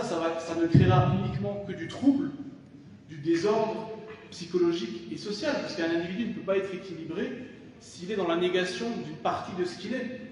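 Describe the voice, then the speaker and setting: A man gives a public lecture in a big hall, and his fairly high voice carries a long, booming echo. He sounds distant from the microphone, with a faint hiss behind him. He speaks thoughtfully, pausing often and restarting phrases. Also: darkish tone. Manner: thoughtful, many hesitations and restarts. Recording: echoey hall from far off, faint noise.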